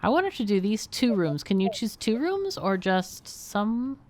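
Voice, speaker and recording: A woman's voice: medium pitch, big pitch swings, unhurried, darkish tone. A woman hosting a game livestream, chatting casually and thinking aloud as she plays. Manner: thinks aloud while playing. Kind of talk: casual gameplay chat.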